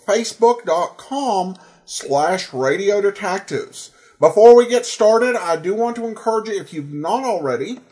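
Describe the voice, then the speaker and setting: American guy hosting a podcast, pretty high voice, chatty intro, kinda halting. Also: a little mellow. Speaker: podcast host.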